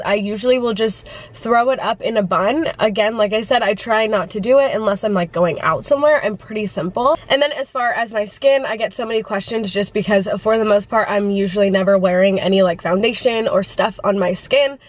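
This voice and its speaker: Congested female vlogger, chatty and filler-heavy, mid pitch. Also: no echo. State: congested from the flu. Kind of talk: casual vlog chat.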